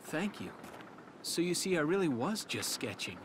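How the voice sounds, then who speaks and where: An actor playing a gentle, absent-minded young nature lover in a video game, mild and hesitant. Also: male voice, fairly high pitch, sing-song, moderate pace, background noise. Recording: music behind the voice.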